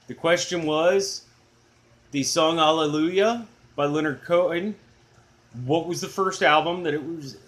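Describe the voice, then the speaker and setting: A middle-aged man with a low, booming baritone, hosting a music channel in a rambling, enthusiastic, self-deprecating chat. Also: fairly bright tone. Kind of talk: casual chatty video blog.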